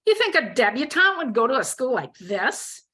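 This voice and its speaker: Female reader as tough-acting young college woman, sarcastic and snappy, pitched up, story character. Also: sing-song, normal speed, bright, forceful tone, exaggerated voice. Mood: defiant, snarling.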